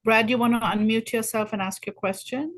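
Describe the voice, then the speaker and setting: A woman with a fairly high voice moderates a webinar, calling on people with brief, polite prompts. Her sound is dry and close. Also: steady tone, a little fast, no room sound, rough recording. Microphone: video call.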